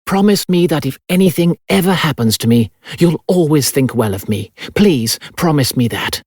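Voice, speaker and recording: A man voicing a sorrowful, earnest young gentleman in an audiobook novel. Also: on the high side, expressive, moderate pace, slightly rough, dry recording, clean studio sound, voice pushed up. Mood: earnest, pleading. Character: handsome, melancholy young gentleman friend.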